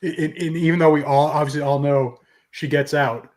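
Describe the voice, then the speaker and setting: A man's voice: a little high, steady tone, a little fast, darkish tone. A man on a fan panel, talking casually in a joking, informal manner. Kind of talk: casual chat.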